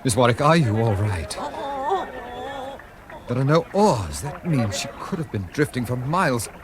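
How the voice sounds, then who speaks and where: A man acting in a radio drama as a protective, indignant English gentleman, formal and emphatic. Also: mid-range voice, sing-song, a little slow, crowd noise. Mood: concerned.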